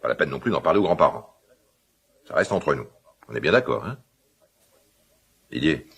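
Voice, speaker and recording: A gravelly, very deep-voiced father in a radio drama. He is gruff and easily worked up, yet caring. His delivery swings widely in pitch and keeps doubling back on itself. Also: sing-song, fairly bright tone, exaggerated voice. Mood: uneasy, conciliatory. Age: middle-aged. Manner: halting, repeats himself, blustering colloquial speech. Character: gruff, quick-tempered but caring father of a teenage son. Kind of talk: naturalistic French radio drama acting.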